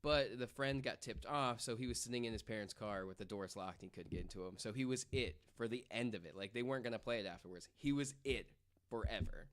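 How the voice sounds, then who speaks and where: A man's voice: lowish voice, fast talker. A man telling a story casually as a podcast guest, in loose, informal wording.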